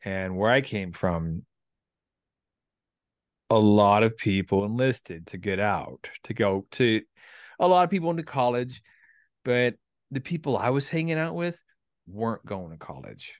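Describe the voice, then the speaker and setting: A man in his thirties talking through writing ideas casually, in a halting, reflective way with some salty language. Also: on the low side, animated, no echo, clean studio sound. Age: 30s. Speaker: writer and performer, military veteran. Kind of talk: casual creative discussion.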